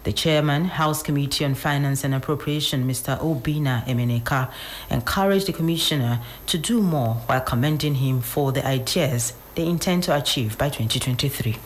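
A Nigerian woman reading scripted radio news in a formal, measured way. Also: very deep voice, slight background noise. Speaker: radio newsreader.

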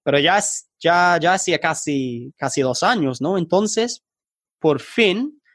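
A man's voice: fairly high pitch, expressive, slow, fairly bright tone, no room sound, clean studio sound. A man from England with an English accent, a non-native speaker in a casual interview, speaking hesitantly and searching for words. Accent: English accent in Spanish. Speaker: podcast guest, online tutor and startup founder.

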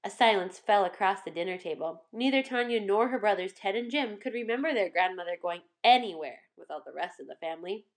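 A woman reading a children's story aloud in expressive scripted narration. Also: medium pitch, brisk, few pauses.

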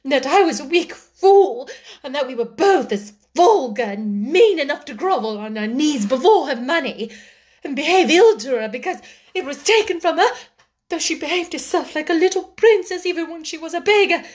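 A woman's voice: extremely high pitch, big pitch swings, moderate pace, voice pushed up. A woman voicing a timid middle-aged younger sister in a storybook, who speaks out in emphatic, breathless, hysterical distress. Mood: hysterical, tearful.

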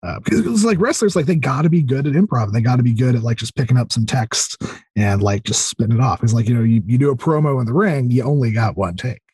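A man talking as a podcast guest in casual interview chat, enthusiastic, informal and rambling, with a slightly husky voice. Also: mid-range voice, animated, quick pace, darkish tone, no room sound. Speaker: podcast guest, audio drama creator.

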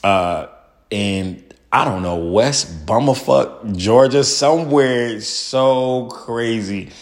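A young male stand-up comedian with a loud voice, telling his story in an animated interview and acting out the people he quotes. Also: medium pitch, slow pace. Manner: acts out quoted people in bursts.

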